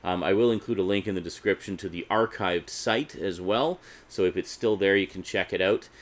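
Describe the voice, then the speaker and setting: Low-voiced man chatting casually. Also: a little fast. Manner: chatty, informal, conversational asides. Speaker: video host reviewing a DVD collection. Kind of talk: casual unscripted collector vlog.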